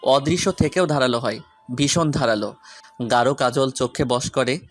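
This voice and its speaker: A man's voice: medium pitch, moderate pace, close to an everyday voice. A man playing a stern, brooding young romantic lead in an audio story. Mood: smitten, poetic.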